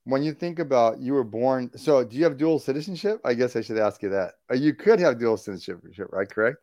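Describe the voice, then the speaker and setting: A man's voice: mid-range voice, lively intonation, a little fast, darkish tone, no room sound. A man hosting a podcast interview, enthusiastic and rambling, restarting his sentences. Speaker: podcast host. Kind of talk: casual interview.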